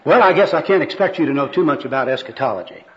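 A man's voice: a little high, expressive, fast talker, lightly acted. A man reading a skit, voicing a kindly old evangelist who is earnest, genteel and pompous with church jargon. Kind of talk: amateur skit read aloud. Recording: dull, lo-fi recording.

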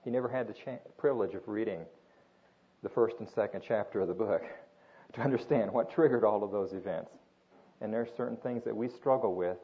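Older man from Japan, earnest informal sermon, lowish voice, muffled lo-fi recording. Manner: earnest, with frequent restarts and fillers. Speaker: guest speaker at a church gathering. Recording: dull lo-fi recording.

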